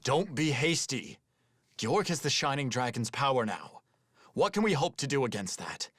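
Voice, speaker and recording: An actor voicing a cool, cautious, formal male companion with a husky voice in a video game. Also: medium pitch, sing-song, moderate pace, fairly bright tone, no echo, studio quality. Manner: formal, composed. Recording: faint music behind the voice.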